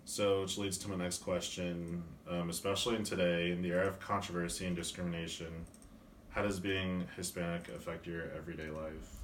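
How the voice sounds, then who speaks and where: Young male student interviewer, deep monotone, rambling questions, echoey room, distant mic. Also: brisk, a little hiss or hum. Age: young adult. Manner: frequent "mm-hmm" backchannels, rambling questions. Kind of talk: class project interview.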